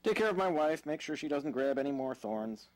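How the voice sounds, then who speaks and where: An actor playing an earnest, polite young husband in an audio drama, slightly formal and easily exasperated. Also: male voice, fairly high pitch, fairly quick, lightly acted, poor audio. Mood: light, affable. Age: young adult.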